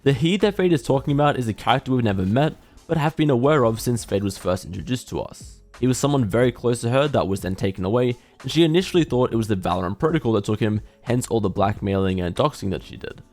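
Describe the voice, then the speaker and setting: A man's voice: medium pitch, animated, fast talker, hardly any pauses, a little mellow, soft background music. A man delivering scripted video commentary as a gaming lore commentator.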